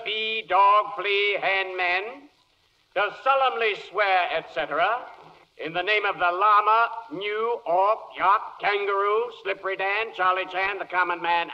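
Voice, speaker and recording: A man playing an officious, formal court clerk in a 1940s radio comedy. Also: sits high. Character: officious male court clerk reciting oaths. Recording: muffled, reverberant 1940s radio broadcast.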